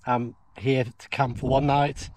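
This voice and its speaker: A man's voice: medium pitch, level tone, measured pace, a little mellow, a little hiss or hum. A middle-aged man explaining things casually in a vlog, recorded on a camera while camping. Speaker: vlogger demonstrating off-grid radio gear. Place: outdoors in a windy field and inside a canvas tent.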